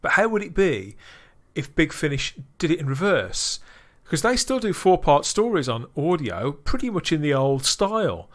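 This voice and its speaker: A man's voice: fairly high pitch, sing-song, normal speed, few pauses, fairly bright tone. A man hosting a podcast, thinking aloud in long, musing sentences during a casual discussion. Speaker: podcast host.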